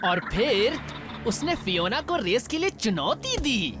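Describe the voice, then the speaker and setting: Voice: mid-range voice, sing-song, a little slow, noisy background. A performer voicing a sly, greedy male jackal spy in a cartoon, fawning and wheedling, with music behind. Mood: scheming. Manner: wheedling, obsequious.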